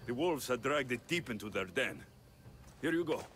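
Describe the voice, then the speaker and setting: An actor playing a modest, good-natured young mercenary hero in a video game, with a humble, slightly bemused, reassuring manner. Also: male voice, mid-range voice, lively intonation, normal speed, fairly bright tone. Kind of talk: video game cutscene acting.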